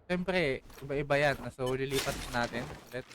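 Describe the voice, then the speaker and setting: A man's voice: mid-range voice, normal speed. A Filipino-accented man hosting a gaming video with casual commentary, with faint noise and clicks in the recording.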